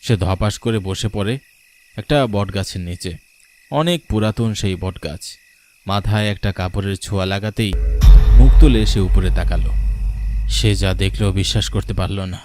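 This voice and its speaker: A man's voice: low-pitched, measured pace, long pauses, darkish tone. A man narrating a scripted cartoon folk tale as a dramatic storyteller. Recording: music behind the voice.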